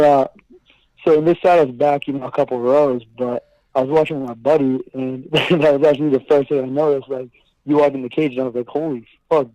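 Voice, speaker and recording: A young man from Boston, a guest on a casual chat, laughing often and talking in a relaxed way over a remote call. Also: mid-range voice, no room sound. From Boston, USA. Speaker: guest, fighter. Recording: dry, dull call audio.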